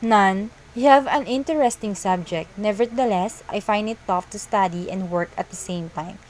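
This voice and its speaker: A woman's voice: medium pitch, normal speed, slight background noise, close to an everyday voice, low-quality recording. A young woman voicing a weary, self-doubting working student in an amateur cartoon, with a plain read-aloud delivery. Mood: respectful, troubled. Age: young adult. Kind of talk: amateur animated cartoon dialogue.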